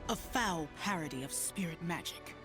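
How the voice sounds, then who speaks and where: An actress playing a calm, wise spiritual warrior champion in a video game, speaking in a measured, solemn way. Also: female voice, lowish voice, loud music behind the voice. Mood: stern.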